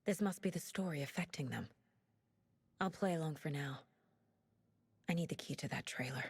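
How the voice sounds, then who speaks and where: An actress playing a troubled, determined woman detective in a video game, thinking aloud in an intense, deliberate way. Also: low-pitched, breathy edge, no echo. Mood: focused, deducing. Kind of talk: dramatic video game voice acting.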